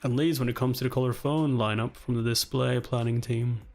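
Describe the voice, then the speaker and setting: A man reading aloud the part of a commanding, coolly decisive male executive in a webtoon, formal and measured. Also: mid-range voice, fairly even intonation, darkish tone, soft background music, pitched below the performer's own voice, close to an everyday voice. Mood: probing, formal. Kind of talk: dramatic read-aloud of webtoon dialogue.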